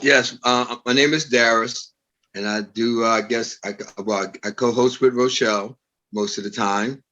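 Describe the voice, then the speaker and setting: A man's voice: medium pitch, fairly even intonation, unhurried, bright, forceful tone, muffled recording. A man in his late fifties talking casually as a co-host on a panel. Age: 50s.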